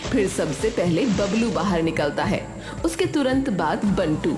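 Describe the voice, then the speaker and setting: A woman's voice: fairly low pitch, animated, measured pace, echoey room, over music. A woman reading scripted narration as the storyteller of a moral tale.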